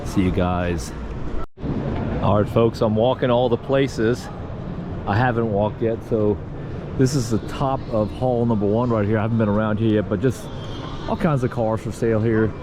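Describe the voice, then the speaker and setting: A man with a hoarse, raspy voice hosts a casual vlog, chatting in a folksy way to viewers while walking through a car show. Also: fairly low pitch, fairly even intonation, normal speed. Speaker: vlog host. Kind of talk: casual walk-around vlog. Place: car show halls and grounds, indoors and outdoors. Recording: noisy venue background.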